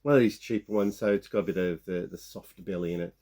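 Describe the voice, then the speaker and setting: A man in his fifties with a croaky sore-throat voice, rambling casually as he shows off his toy finds. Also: low voice, steady tone, brisk, mellow. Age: 50s. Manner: rambling, many restarts and fillers. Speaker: toy collecting vlogger. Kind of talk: casual show-and-tell of toy haul.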